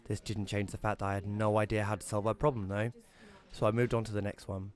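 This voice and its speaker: British guy acting narrating audio drama as a grumbly columnist, lowish voice, wry n chatty. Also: little pitch movement, fast talker, lightly acted. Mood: wry narration. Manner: wry, conversational. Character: wry, grumbling young male advice columnist. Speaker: narrator and lead character.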